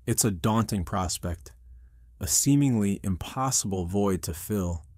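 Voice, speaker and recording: Man acting a low, anguished restaurant boss narrating. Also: unhurried, darkish tone, no echo, studio quality. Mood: brooding. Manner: intense, earnest, literary storytelling. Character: anguished army veteran restaurant boss, narrating his own story. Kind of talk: dramatic first-person scripted narration.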